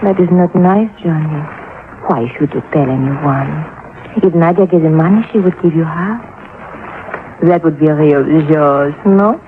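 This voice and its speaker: A woman's voice: deep voice, a little slow, noisy background. Coy foreign schemer lady, accented broken english, thin phone-like old radio sound. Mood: wheedling. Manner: coy, playful, broken English, speaks of herself by name. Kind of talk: 1950s radio drama acting. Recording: tinny, narrow-band old radio recording.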